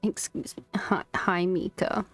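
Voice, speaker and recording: A woman's voice: mid-range voice, slow, dry recording, faint music behind. A woman streaming a game, chatting casually and commenting as she plays, close on the mic.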